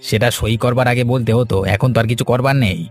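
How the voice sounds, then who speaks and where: A mid-pitched, smooth-talking cartoon swindler, played by a man. He is a greedy village trader, glib and scheming, with music underneath. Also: steady tone, brisk, natural voice. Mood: smug, mocking. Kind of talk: cartoon fairy-tale dialogue. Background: background music.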